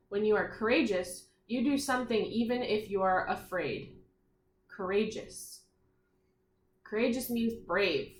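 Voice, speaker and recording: Woman, primary school teacher, slightly high voice, very slow pace, careful enunciation, read-aloud lesson style, questions to young listeners. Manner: deliberate enunciation, repeating phrases and posing questions to listeners. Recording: echoey room.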